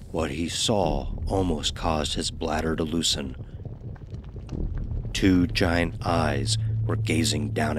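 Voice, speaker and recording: A man narrating a scripted horror story in a measured, dramatic, ominous manner. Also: a bass voice, noisy background.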